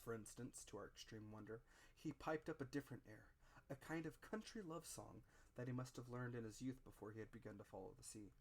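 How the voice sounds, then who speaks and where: A man narrating an adventure story as a dramatic audiobook reading, measured and expressive. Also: mid-range voice.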